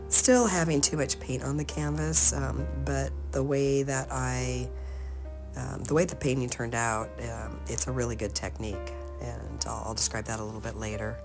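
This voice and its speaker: Very low-voiced, slightly hoarse woman. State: slightly hoarse from being sick. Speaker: host of an art tutorial channel. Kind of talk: casual painting tutorial narration.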